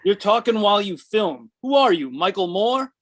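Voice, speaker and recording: A man plays a boastful big brother, brash and mocking. His voice sits high for a man, and the delivery is that of a live comedy script reading. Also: expressive, moderate pace, fairly bright tone. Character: brash, boastful older brother, has-been actor type. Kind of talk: live comedy table read.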